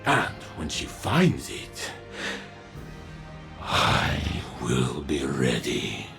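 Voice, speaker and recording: An actor playing a grave, earnest robotic warrior in a game, speaking solemnly and emphatically in a dramatic monologue. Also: male voice, a bass voice, wildly swooping pitch, creaky, over music, heavily acted. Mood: resolute. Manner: slow, solemn, deliberate, emphatic.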